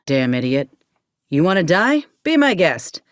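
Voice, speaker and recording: A tough, weary ex-army medic turned troubleshooter for a video game. Her voice sits on the low side and swings freely in pitch, with a drawl. She talks in a blunt, folksy, sardonic way, recorded close and dry. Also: sing-song, a little slow, no room sound. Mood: challenging, scornful. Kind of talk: video game character acting.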